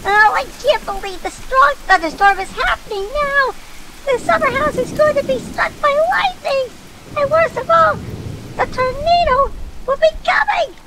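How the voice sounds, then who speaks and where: A performer voicing an excitable, easily panicked mother in a children's story. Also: very high voice, measured pace, noisy background, pitched above the performer's own voice, heavily acted.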